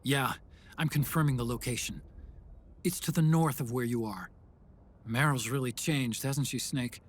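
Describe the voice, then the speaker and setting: A man's voice: medium pitch, moderate pace, natural voice. An actor playing an earnest, brainy male tech expert in a video game, speaking in an explanatory way. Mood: earnest, concerned. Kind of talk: video game cutscene acting.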